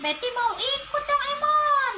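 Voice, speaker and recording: Puppet story: timid, caring girl duck, played by a woman, very high, pleading and childlike, noisy recording. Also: lively intonation, unhurried, bright, forceful tone, exaggerated voice. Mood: sweet, pleading. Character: sweet, caring, timid little female duck friend.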